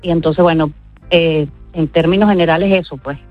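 Halting Venezuelan woman on a dull, dry line. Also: deep voice, fairly even intonation, no room sound, faint music behind. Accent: Venezuelan Spanish. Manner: halting, many fillers. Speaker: interviewee. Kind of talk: live news interview. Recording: dry, slightly dull audio.

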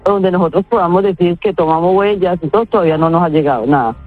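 A woman's voice: lowish voice, fast, faint music behind. A woman from Colombia, giving a hesitant recorded news testimony as an interviewee. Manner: hesitant, restarting phrases. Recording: tinny phone-like audio.

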